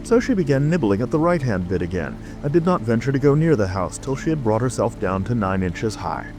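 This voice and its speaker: A man's voice: medium pitch, very animated, fairly quick, music in the background, low-quality recording. A man narrating a classic storybook as a scripted audiobook.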